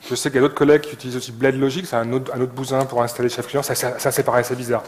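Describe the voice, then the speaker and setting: A man's voice: mid-range voice, little pitch movement, racing, a little hiss or hum. A man co-presenting an informal tech talk, colloquial, often repeating words as he searches for the next one. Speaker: conference speaker, tech company employee. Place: live talk before an audience.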